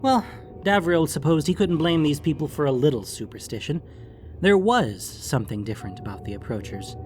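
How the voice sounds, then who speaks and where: Animated fantasy audiobook narrator. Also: mid-range voice, fairly quick, faint music behind. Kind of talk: scripted fantasy story narration.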